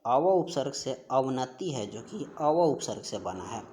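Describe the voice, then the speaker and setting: Man teaching grammar, steady explaining. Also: mid-range voice, a little slow, slight background noise. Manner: explains steadily, repeats key words and answers. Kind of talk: exam-prep grammar lesson.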